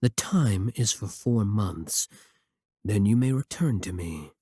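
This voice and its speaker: Man voicing a slow, husky, devoted vampire king. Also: on the low side, animated, no room sound, studio quality, full character voice. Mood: solemn, loving. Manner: measured, formal, gently intimate. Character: devoted, courtly vampire king, tender and formal. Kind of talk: romantic ASMR roleplay.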